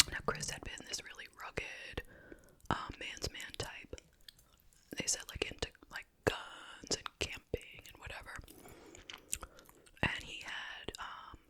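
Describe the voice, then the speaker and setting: Whispering, breathy ASMR host. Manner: casual, gossipy storytelling with pauses. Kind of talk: whispered ASMR chit chat.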